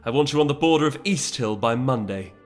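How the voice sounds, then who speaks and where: A man's voice: mid-range voice, lively intonation, a little fast, soft background music, close to an everyday voice. A man voicing a proud, resolute noble mare who speaks formally and imperiously in a dramatic fantasy audiobook. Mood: commanding.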